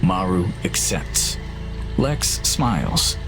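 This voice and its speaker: Very deep-voiced male dramatic narrator. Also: sing-song, slow, low-quality recording. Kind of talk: dramatic scripted story narration. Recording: loud music under narration. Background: loud music.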